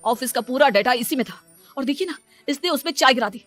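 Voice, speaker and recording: A woman's voice: sits high, lively intonation, a little fast, fairly bright tone, no echo, faint music behind, pitched above the performer's own voice. A woman voicing an irritable young husband in a cartoon moral story, exasperated, blaming and sarcastic.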